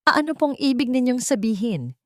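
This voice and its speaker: A woman's voice: on the high side, lively intonation, moderate pace, no room sound, clean studio sound, pitched above the performer's own voice, close to an everyday voice. A woman with a Filipino accent voicing a humble, desperate young mother in a romance story, polite and hesitant. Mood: bewildered, hesitant.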